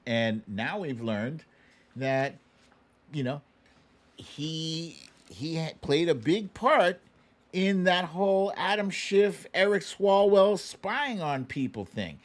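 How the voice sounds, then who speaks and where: A man delivering casual political commentary as a livestream host. His pace is unhurried but his pitch swings widely, and he sounds sarcastic and indignant, swearing freely. The recording is dry and close. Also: expressive, no room sound.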